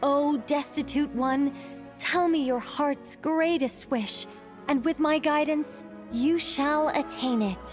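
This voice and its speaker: A woman's voice: high voice, music in the background, pitched above the performer's own voice. A woman voicing a grand, mysterious storybook mage in a video game, with pompous archaic phrasing. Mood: imperious. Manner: pompous, archaic, drawn-out delivery.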